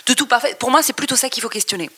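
Bright, halting French woman guest. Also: a little high, slightly rough. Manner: thoughtful, halting with many restarts. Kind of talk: interview conversation.

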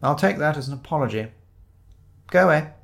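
A man's voice: on the high side, expressive, fairly quick, vocal fry, a bit bright, voice pushed up. Man acting a curt, commanding woman police inspector. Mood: exasperated. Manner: dry, firm, commanding. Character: curt, exasperated female police inspector, authoritative.

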